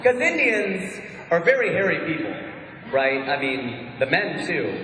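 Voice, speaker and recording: A man's voice: a little high, sing-song, a little slow, very noisy. A man from Ohio with a Midwestern American accent doing a chatty, self-mocking stand-up comedy set. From Ohio, USA. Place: large hall with audience. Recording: echoey hall.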